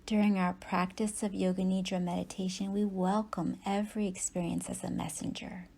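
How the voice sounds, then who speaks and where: A woman meditation teacher leading a guided yoga nidra practice in soft, breathy, unhurried phrases with long pauses. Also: mid-range voice, little pitch movement.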